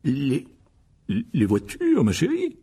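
A sheepish middle-aged wizard father, acted out by a male reader for a storybook. He is excitable and easily flustered, stumbling and backtracking as he goes. His voice is husky and swings widely in pitch. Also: fairly quick, exaggerated voice. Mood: guilty, flustered. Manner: stammering, hesitant, backtracking.

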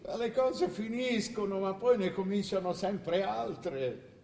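An old king in a theatrical radio drama, imperious yet bewildered. His voice is high and unhurried, full of insistent, declamatory questions. It carries from far off in a reverberant hall. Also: vocal fry, a little mellow, heavily acted. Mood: indignant, preachy. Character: forgetful, imperious old king, confused and defiant. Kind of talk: theatrical stage-style radio drama acting. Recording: distant, echoey hall with hiss.

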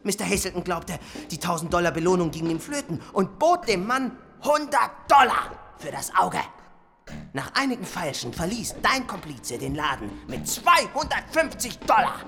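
A woman's voice: fairly low pitch, big pitch swings, moderate pace, a bit bright, faint music behind. An actress playing a brash, greedy lawyer in a German radio drama, hectoring and sarcastic as she cuts in. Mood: brisk, accusing. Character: brash, greedy, bullying court-appointed lawyer.

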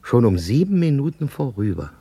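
An actor playing a gentle, melancholy older flower seller in a German radio drama, with a measured, lyrical, wistful manner. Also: male voice, medium pitch, very animated, noisy background. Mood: wistful pleading. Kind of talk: German radio play acting.

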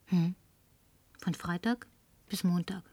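An actress in a German radio play playing a flirtatious, tipsy, insistent career woman with coquettish, languid phrasing and breathy murmurs. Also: fairly low pitch, steady tone, slow, dark tone, no echo, studio quality. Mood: confiding.

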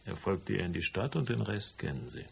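A hardboiled private detective for a German radio play, in a deep voice with a husky, creaky edge. He is laconic and stubborn, laying things out in a dry, matter-of-fact way. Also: very animated, brisk, full character voice. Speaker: voice actor and first-person narrator. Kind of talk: German radio drama, dialogue and narration.

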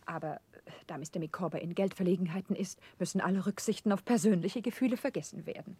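A woman's voice: lowish voice, fast, warm tone, breathy edge. An actress playing a worn, genteel middle-aged wife who laments her lot theatrically in a 1960s German radio play. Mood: plaintive, genteel.

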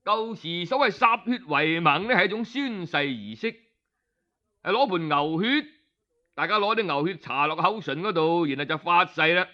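A man's voice: high-pitched, lively intonation, moderate pace, bright, forceful tone, dull audio. A man with a Cantonese accent telling a traditional story in colloquial style, with explanatory asides.

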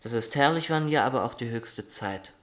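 Young elder brother male actor: medium-pitched, chatty, teasing and fond, radio play delivery. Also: animated, normal speed, fairly bright tone, close to an everyday voice. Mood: wry, reading aloud. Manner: playful, wry, chatty. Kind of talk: German radio play acting.

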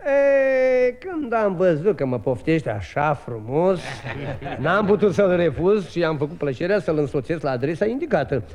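An actor playing a cheerful, rambling Czech soldier who plays the naive, obedient fool in a 1950s radio drama. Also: male voice, a little high, big pitch swings, a crowd in the background. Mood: naively agreeable.

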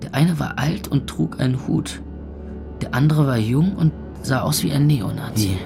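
An actor playing a hungover young club owner, narrating in a weary, sardonic, gravelly voice in an audio drama. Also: male voice, medium pitch, lively intonation, moderate pace, music in the background. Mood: wary, wry. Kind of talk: first-person scripted narration in audio drama.

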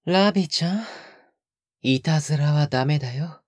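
Woman as a breathy, coaxing, possessive young man. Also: low-pitched, normal speed, no room sound, clean studio sound. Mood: mildly scolding. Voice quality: breathy, often dropping to a whisper. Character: soft-spoken, teasing, possessive young man, sweetly menacing. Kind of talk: intimate audio drama acting.

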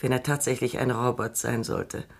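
A woman playing a cool, sharp-witted robot psychologist in a radio play, dry, precise and logical. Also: very deep voice, steady tone, moderate pace, creaky, husky, exaggerated voice. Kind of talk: German radio play acting.

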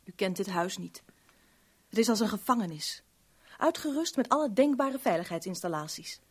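An actress in a Dutch radio drama playing a guarded, sharp-tongued young woman with a curt, irritable manner. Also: medium pitch, lively intonation, normal speed, slightly rough. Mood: urgent, guarded. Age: young adult.

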